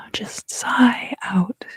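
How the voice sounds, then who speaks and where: A woman giving an ASMR tarot reading in a hushed, soothing, husky voice that often slips into a whisper, close to the microphone. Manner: slow, soothing, hushed guided delivery. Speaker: tarot card reader and host.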